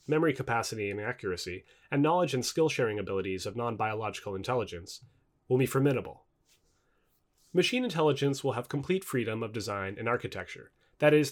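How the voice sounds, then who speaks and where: A man reading non-fiction in a scripted audiobook style, with formal, clearly enunciated phrasing. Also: mid-range voice. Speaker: reader of a non-fiction book.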